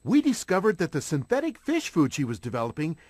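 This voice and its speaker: An actor playing a confident, upbeat young male detective leader in a cartoon game, with a slightly husky voice. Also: on the high side, huge pitch swings, brisk, a little mellow, no room sound, studio quality.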